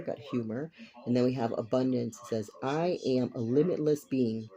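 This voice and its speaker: A man with a raspy voice giving a rambling, blunt tarot reading at a table, without a dedicated microphone. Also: mid-range voice, dark tone. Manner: rambling and blunt, with casual swearing. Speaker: tarot reader. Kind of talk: casual tarot reading to camera. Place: indoors at a table covered with cards.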